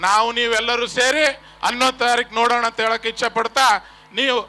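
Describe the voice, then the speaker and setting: A man's voice: very high-pitched, flat delivery, quick pace, bright, forceful tone. A man with a North Karnataka accent giving a rousing speech from a stage, addressing fans directly and leading them in chants. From Karnataka, India. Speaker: guest speaker at a film promotion event. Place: stage at a public event.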